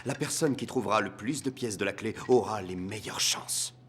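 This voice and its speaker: A man's voice: lowish voice, moderate pace, a bit bright, a little hiss or hum, natural voice. A man playing a noble young samurai in a cartoon, grave and formal in manner. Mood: earnest. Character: solemn, noble young male samurai warrior. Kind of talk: dubbed cartoon acting.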